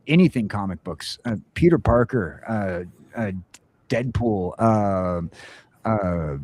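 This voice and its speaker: A man's voice: lowish voice, darkish tone. A man hosting a casual morning show, rambling with lots of fillers. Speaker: host.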